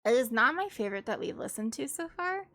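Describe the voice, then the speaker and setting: A woman's voice: fairly high pitch, very animated, a little fast, a bit bright. A young woman, a classical singer, reacts to music on a live stream in a casual, thinking-aloud way, opinionated and analytical, slightly nasal at times.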